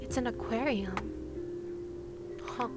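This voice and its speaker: Playful woman livestreamer with a highish voice. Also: slow. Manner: playful, teasing, exclaims in wonder. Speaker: game livestreamer. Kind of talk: casual livestream chat over gameplay. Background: game music.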